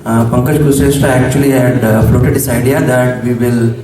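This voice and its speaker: A man in his forties from coastal Andhra Pradesh with an Indian English accent, slightly hoarse, giving a hesitant formal vote of thanks at an alumni reunion. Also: mid-range voice, fairly even intonation, moderate pace, crowd noise. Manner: hesitant, many ums and restarts. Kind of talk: formal public speech with pauses. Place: large hall. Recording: echoey hall mic from far off.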